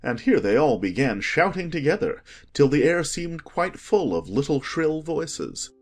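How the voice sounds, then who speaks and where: A man narrating a children's story as an expressive, scripted audiobook reading. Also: a little high, a little slow.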